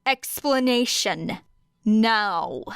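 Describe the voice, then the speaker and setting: A woman's voice: a little high, expressive, drawn-out words, clear, resonant tone, dry recording, heavily acted. A woman voicing a stern, commanding female hero in an audiobook, with a harsh, authoritative manner. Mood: dangerous, demanding. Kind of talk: audiobook character acting.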